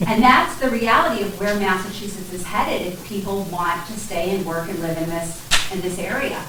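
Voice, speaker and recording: A middle-aged woman, a realtor speaking loudly and directly at a public panel. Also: medium pitch, moderate pace. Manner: loud, direct. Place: library meeting room. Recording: echoey room, far from mic, noisy.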